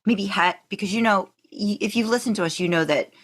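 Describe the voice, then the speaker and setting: A woman hosting a podcast, asking informal, halting questions in a casual chat. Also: mid-range voice, fairly bright tone. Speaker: podcast host, interviewer.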